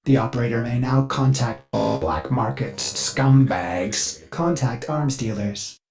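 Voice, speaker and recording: An actor voicing a servile, anxious-to-please ship artificial intelligence in a video game, polite, formal and theatrical. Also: male voice, medium pitch, measured pace, a little mellow, close to an everyday voice. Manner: polite, formal, theatrical, speaks of himself in third person. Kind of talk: scripted video game character lines.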